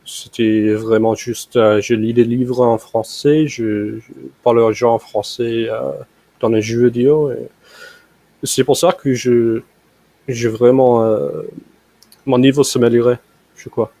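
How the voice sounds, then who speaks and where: A man's voice: mid-range voice, level tone, measured pace, mellow, no echo. A young American man talks on a video call in learner French with a strong American accent. He hesitates often, filling gaps and restarting his sentences. His voice is dry and close, in a mid range. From United States. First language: English. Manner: hesitant, many fillers and restarts. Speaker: language learner. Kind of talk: casual conversation practice.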